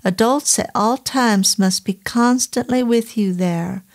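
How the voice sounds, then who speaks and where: A woman reading the lines of a big, soft-spoken innkeeper in his forties, kindly and patient, who chooses his words with stiff, old-fashioned care in an unhurried audiobook telling. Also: fairly high pitch. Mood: gentle, patient. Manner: stiff, oddly formal phrasing. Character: fortyish male innkeeper, gentle, halting English.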